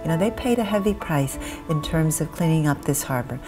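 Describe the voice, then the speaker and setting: A woman's voice: deep voice, animated, brisk. A middle-aged woman speaking in a documentary interview. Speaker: former head of an advocacy association. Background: music.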